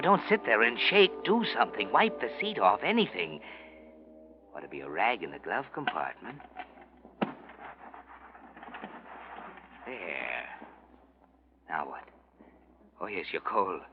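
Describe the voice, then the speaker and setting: A menacing, insinuating narrator of a 1940s radio suspense play. His voice is fairly low and dramatic, goading the listener. Music plays clearly behind him on a dull, aged recording. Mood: urgent, goading. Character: ominous, taunting narrator addressing the guilty man. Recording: muffled old radio recording.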